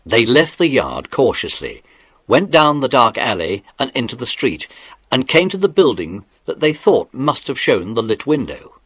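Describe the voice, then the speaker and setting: A man's voice: mid-range voice, very animated, moderate pace, fairly bright tone, husky, no echo. A man narrating a children's detective adventure as the storyteller of a scripted audiobook drama. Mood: suspenseful storytelling.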